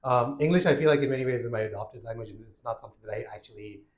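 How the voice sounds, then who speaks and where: A man of ordinary pitch hosts a relaxed conversation, his first language Urdu. He talks in a loose, reflective way, full of fillers and affirmations. The room adds a clear echo around his voice. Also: rapid-fire. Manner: warm affirming, frequent fillers. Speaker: podcast host. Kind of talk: casual conversational interview.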